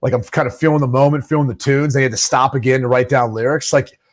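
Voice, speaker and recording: A man's voice: medium pitch, fairly even intonation, fast talker. A man co-hosting a sports podcast, teasing and laughing in casual banter. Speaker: podcast co-host, former player.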